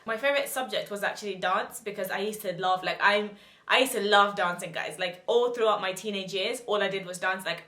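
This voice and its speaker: A woman's voice: mid-range voice, brisk, pushed and bright. Chatty young woman in an echoey room. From Zimbabwe. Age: 20s. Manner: chatty, giggly, self-deprecating. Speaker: YouTuber. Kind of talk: casual get-to-know-me vlog.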